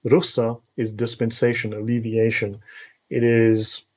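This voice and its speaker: A man's voice: mid-range voice, little pitch movement, unhurried, darkish tone. South African man explaining, slightly dull audio. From South Africa, born and raised in Cape Town. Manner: academic, didactic, checks understanding with "right?". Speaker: interview guest, educator. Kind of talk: explanatory live interview talk.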